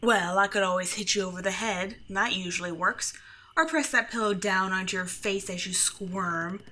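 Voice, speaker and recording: A woman's voice: mid-range voice, moderate pace, sharp tone. A woman playing a grumpy, sarcastic, bossy monster from under the bed in an ASMR roleplay. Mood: grumpy, threatening. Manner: exasperated, bossy, mock-threatening. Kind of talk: scripted ASMR roleplay, speaking to the listener.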